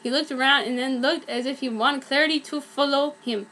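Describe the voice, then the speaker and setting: A woman's voice: high voice, fairly even intonation, fairly quick, pushed and bright. A young woman giving a theatrical, mock-earnest comedic reading of amateur fan fiction.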